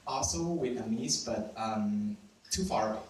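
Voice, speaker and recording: A man's voice: fairly low pitch, moderate pace, noisy background. A young man from Cambodia with a Cambodian accent tells a personal story at a live storytelling event. He laughs often, mocks himself and swears cheekily. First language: Khmer. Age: young adult. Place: event venue with audience. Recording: very echoey room, mic at a distance.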